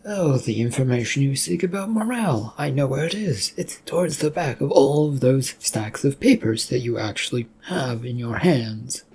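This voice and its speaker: Reader voicing a smug insinuating office man, gravelly, dark-toned. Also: mid-range voice, expressive, moderate pace, hardly any pauses. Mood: sly. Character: smug older male colleague, sly.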